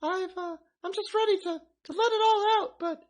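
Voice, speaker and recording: A man putting on a shrill, giggly woman. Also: moderate pace. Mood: giddy.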